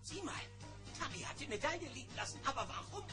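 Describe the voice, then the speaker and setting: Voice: high voice, sing-song, fast talker, over music. A performer playing a fussy, fretful old male bear shrunk tiny in a German children's audio play, hesitant and worried.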